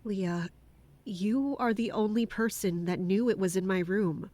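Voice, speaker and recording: An actress playing a weary, guarded female captain in an audio drama, speaking in a measured, restrained way. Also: medium pitch, warm tone, no echo, natural voice. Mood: grave, restrained.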